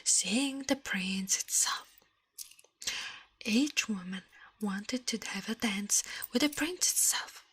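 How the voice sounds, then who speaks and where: A woman's voice: mid-range voice, fairly bright tone, husky, dry recording, low-quality recording. A woman whispering breathily in an ASMR style, close to the microphone, with playful repetition. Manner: slow, playful repetition of syllables and words. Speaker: ASMR streamer. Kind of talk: whispered ASMR storytelling with repeated nonsense trigger sounds.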